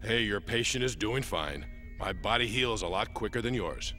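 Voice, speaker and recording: A man's voice: fairly low pitch, lively intonation, moderate pace, sharp tone, faint music behind. A man playing a confident, practical young space cadet in an English anime dub, recorded with a reverberant tail. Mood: matter-of-fact. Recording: reverberant sound, long echoing tail.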